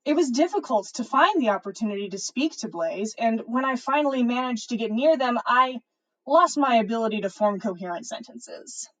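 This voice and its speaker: Higher-pitched woman reading a story aloud. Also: lively intonation, normal speed, rough recording. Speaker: podcast host reading listener fan fiction. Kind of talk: storytelling read-aloud on a livestream.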